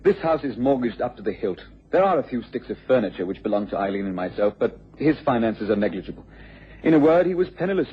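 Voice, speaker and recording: An actor playing a smooth-talking, scheming scientist's assistant in a radio mystery, speaking in a polite, formal way. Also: male voice, mid-range voice, sing-song, quick pace, natural voice. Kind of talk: radio mystery drama acting. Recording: muffled radio recording with background noise.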